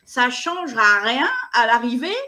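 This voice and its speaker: A woman's voice: a little high, expressive, unhurried, pushed and bright. A woman speaking insistently as an interview guest, restarting her sentences often. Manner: repetitive restarts, indignant, insistent. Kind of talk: emphatic conversational interview.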